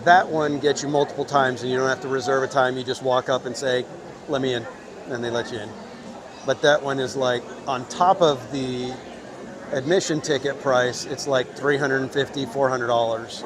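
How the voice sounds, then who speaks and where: A man's voice: mid-range voice, steady tone, normal speed. Man, live stream host, casual joking chat, outdoors, crowd noise behind. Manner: chatty, joking, reads chat names aloud. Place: outdoors in a crowded theme park.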